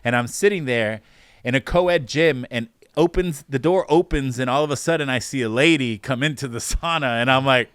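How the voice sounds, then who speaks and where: A man's voice: mid-range voice, normal speed, fairly bright tone, clean tone, no echo, clean studio sound. A man telling a joking, self-mocking story in casual podcast chat.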